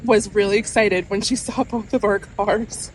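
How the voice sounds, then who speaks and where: A woman with a soft voice co-hosting a casual chat podcast, reacting with enthusiastic exclamations. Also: medium pitch, steady tone, normal speed. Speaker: podcast co-host. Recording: noisy background hiss.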